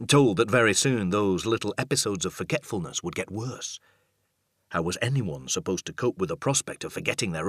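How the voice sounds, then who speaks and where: A man reading a dark thriller audiobook as scripted narration, measured and suspenseful. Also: low voice, husky, no echo, clean studio sound.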